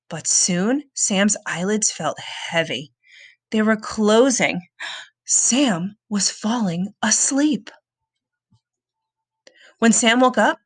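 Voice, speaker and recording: A woman reading a children's book aloud as a storyteller, with expressive, dramatic and suspenseful delivery. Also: mid-range voice, no echo.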